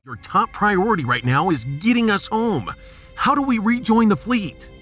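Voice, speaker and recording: A man's voice: high voice, very animated, moderate pace, fairly bright tone, soft background music, pitched above the performer's own voice. A man playing a firm, level-headed starship captain in a sci-fi audio drama, direct and commanding.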